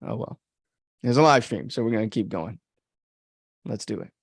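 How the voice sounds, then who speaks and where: A man's voice: fairly low pitch, expressive, moderate pace, gravelly, husky, no echo, studio quality. A man from the United States giving casual, opinionated, rambling livestream commentary as a podcast host. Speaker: podcast host and author commenting on own story.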